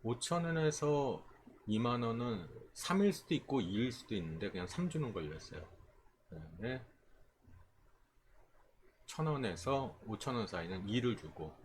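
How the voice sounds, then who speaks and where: A man giving an informal screen tutorial as a trading instructor, explaining conversationally and pausing mid-phrase. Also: lowish voice, lively intonation, a little hiss or hum.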